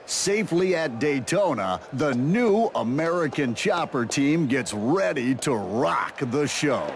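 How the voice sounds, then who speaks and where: A man's voice: on the high side, expressive, slow, creaky, noisy background, full character voice. A man delivering scripted TV-style narration for a video game.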